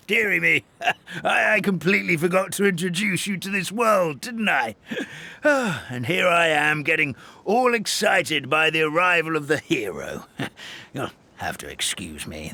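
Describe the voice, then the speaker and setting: Casting: fussy old game hamster elder, courtly, flustered, bright voice, lively swings in pitch. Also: male voice, full character voice. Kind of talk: video game voice acting.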